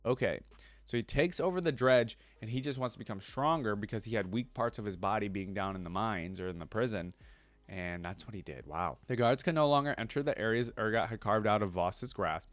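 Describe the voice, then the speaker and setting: A man's voice: on the low side, dry recording. A man making a reaction video, switching between reading lore aloud and informal, musing commentary. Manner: informal, pausing to explain and muse.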